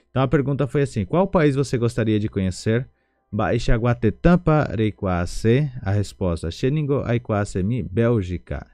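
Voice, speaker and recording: A man with a Brazilian Portuguese accent teaching an online language lesson, slowly repeating each word syllable by syllable. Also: lowish voice, warm tone, no echo.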